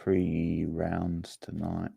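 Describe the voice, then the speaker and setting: A man hosting a livestream quiz, chatting casually in an unhurried, rambling way as he replies to viewers. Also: low voice, completely flat delivery, gravelly, dark tone, husky, dry recording.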